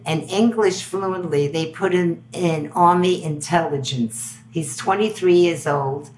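A woman's voice: fairly low pitch, slight background noise, low-quality recording. An older woman from Belgium with a New York accent, a guest telling a story in an interview and acting out the dialogue she quotes.

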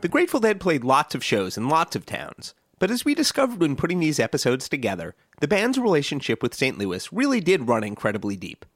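A man's voice: on the high side, brisk, few pauses, faint music behind. A man hosting a podcast, reading scripted narration with a storytelling delivery that builds through lists. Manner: lively storytelling delivery with list-like build-ups.

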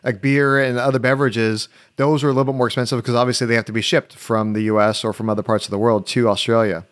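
A man hosting a travel podcast, chatting in a relaxed, halting way with many restarts. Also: medium pitch. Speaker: podcast host. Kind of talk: casual interview chat.

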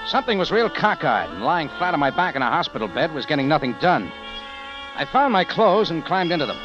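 An actor playing a tough, wry American adventurer with slangy, hard-boiled delivery in a 1950s radio drama. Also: male voice, on the high side, very animated, brisk, over music. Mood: wry narration. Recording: dull old radio recording.

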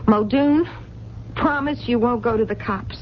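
A woman's voice: fairly high pitch, measured pace, close to an everyday voice. An actress in a radio drama playing a lonely, romantic, stubborn working woman with plain colloquial speech. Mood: stubborn, pleading. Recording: dull, noisy 1970s radio recording.

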